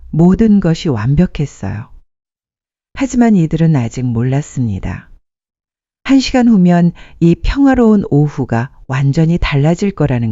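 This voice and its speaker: Mellow, expressive female storyteller. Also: low voice, dry recording, dull audio. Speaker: narrator of a story channel. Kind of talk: scripted sentimental storytelling narration.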